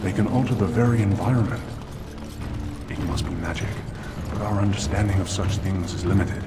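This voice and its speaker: An actor playing a grave scholar recording observations in a fantasy video game, formal and deliberate. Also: male voice, low-pitched, over loud music, lightly acted. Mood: grave, puzzled. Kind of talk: measured video game journal voice-over.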